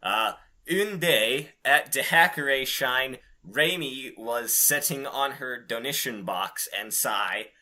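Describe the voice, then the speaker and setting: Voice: medium pitch, bright, forceful tone. A podcast co-host in casual comic banter and amateur reading aloud, giggly, foul-mouthed and prone to stumbling over the text. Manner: profane, giggly, stumbles through deliberately misspelled text.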